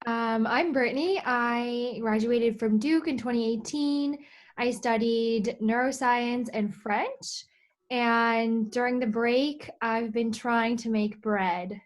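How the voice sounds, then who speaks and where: A woman in her twenties on a video panel, introducing herself in a cheerful, easygoing way. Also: a little high. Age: 20s. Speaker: panelist, medical student. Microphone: video call.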